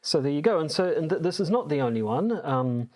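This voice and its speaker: Halting male podcast co-host. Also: a little high, expressive, a little mellow. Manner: hesitant, halting, searching for words. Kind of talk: explaining from notes in casual podcast talk.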